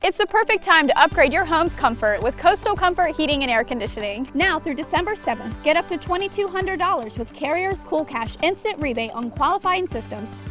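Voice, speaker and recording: A woman reading a scripted commercial as a bright sales pitch. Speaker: commercial announcer. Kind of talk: scripted ad read.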